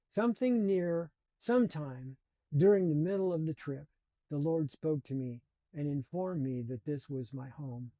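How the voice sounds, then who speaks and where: Earnest older man, dark dry voice. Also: a little high, no echo. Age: 70s. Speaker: channel host. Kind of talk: personal storytelling.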